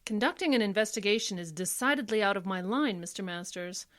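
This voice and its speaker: A woman's voice: medium pitch, a bit bright, natural voice. Woman playing a composed, formal lady attorney. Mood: polite, probing. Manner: formal, measured. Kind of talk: classic radio drama acting.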